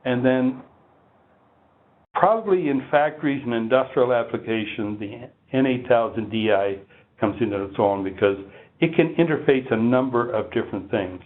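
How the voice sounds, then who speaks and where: A man presenting technical product training in a measured, explanatory, instructional way. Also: mid-range voice. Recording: muffled phone-like line with heavy noise.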